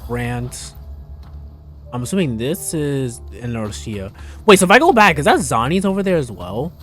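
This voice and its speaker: A male streamer talks through a game as he plays, in a mid-range voice. His commentary is casual and irreverent, like thinking out loud with crude jokes thrown in. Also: medium pitch, sing-song, moderate pace, soft background music. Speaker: game livestreamer. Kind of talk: casual live gameplay commentary.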